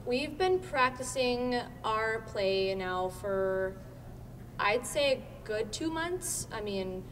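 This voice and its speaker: A woman's voice: expressive, slow pace, a bit bright. A young woman, speaking as a student actor in an informal, earnest and chatty interview. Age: teenager, high school student. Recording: echoey hall, distant mic, rumbling noise.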